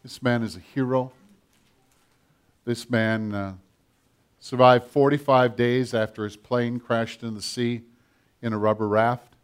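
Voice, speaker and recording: An older man preaching a sermon with a children's message, animated and storytelling with a big voice. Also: mid-range voice, measured pace, long pauses, clear, resonant tone.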